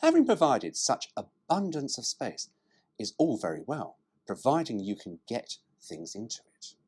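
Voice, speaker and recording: A man's voice: medium pitch, wildly swooping pitch, normal speed, a little mellow, slightly rough. A man reading a brochure aloud as a presenter, dropping in cheeky, irreverent, sweary asides.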